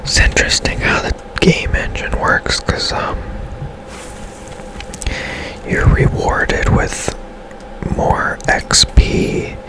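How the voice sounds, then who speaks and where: Man, whispered ASMR, very slow pace, casual gameplay commentary, musing and rambling. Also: poor audio. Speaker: gaming streamer narrating own gameplay.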